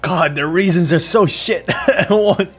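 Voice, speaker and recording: A man's voice: high-pitched, takes it slowly, slightly rough, soft background music. A man giving casual let's play commentary as a gaming streamer, with a sardonic, sweary way of talking.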